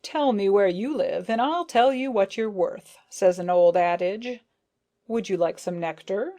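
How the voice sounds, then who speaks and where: A woman voicing a good-natured but excitable male rose beetle with an indulgent, proud manner. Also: mid-range voice, a little slow, lightly acted.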